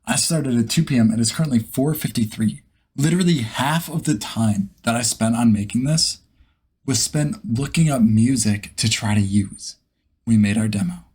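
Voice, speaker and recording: A man hosts a casual vlog in an average-pitched voice with a husky edge. He thinks aloud informally and pokes fun at himself as he goes. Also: normal speed, a little mellow. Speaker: host, beginner voice actor. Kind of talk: casual vlog chat to camera.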